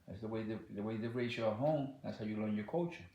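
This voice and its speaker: A man from the Dominican Republic with a Dominican accent, answering interview questions in halting casual speech full of "like" and "you know". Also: fairly low pitch, dark tone, reverberant. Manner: halting, repeats words, many "like" and "you know".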